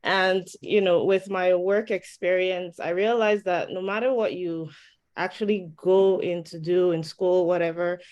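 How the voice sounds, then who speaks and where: Panelist from Nigeria, woman, lowish voice, thoughtful and relaxed. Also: unhurried, muffled recording. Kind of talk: casual discussion.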